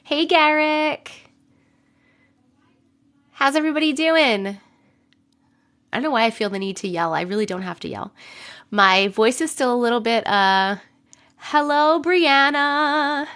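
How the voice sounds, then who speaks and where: A young adult woman live streaming, chatting with viewers and reading stories, her voice a little rough from a cold. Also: high-pitched, a little slow, pauses often, a bit bright. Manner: chatty, greets viewers by name between sentences. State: voice a bit rough from getting over a cold. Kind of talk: casual live stream chat and story reading.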